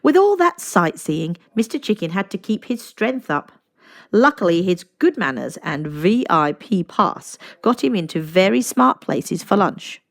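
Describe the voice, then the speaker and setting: A woman narrating a children's storybook aloud in an expressive, deliberate storytelling manner. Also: medium pitch, gravelly.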